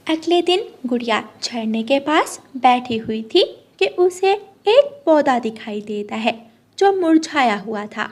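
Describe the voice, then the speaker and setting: A woman's voice: on the high side, expressive, slow pace, a little mellow. A woman storyteller reading scripted narration for a children's cartoon moral tale.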